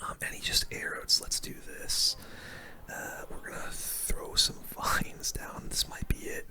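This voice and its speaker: An ASMR gaming host giving casual play-by-play commentary in a hushed, breathy, unvoiced whisper with gamer slang. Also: a little slow. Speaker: gaming video host playing a card battle game.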